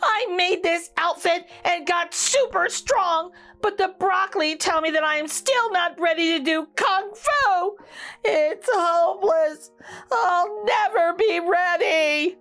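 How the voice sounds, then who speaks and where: A woman's voice: extremely high pitch, slow, a bit bright, faint music behind, voice pushed up, heavily acted. A woman voicing an eager, easily upset young rutabaga boy in a storybook, exclaiming with wide-eyed emphasis. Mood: upset, tearful.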